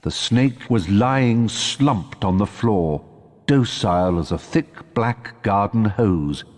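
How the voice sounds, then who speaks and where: A man narrating a wizard tale as a storyteller in a video game, with a dramatic scripted delivery and a husky voice. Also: lowish voice, animated, slow, a little mellow, in a large hall. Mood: suspenseful narration.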